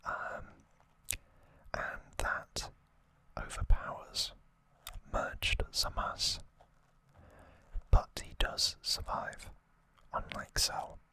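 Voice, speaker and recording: A man hosting ASMR in a breathy, almost entirely unvoiced whisper, speaking gently and unhurriedly to his viewers. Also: extremely slow, long pauses, dry recording. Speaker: ASMR host.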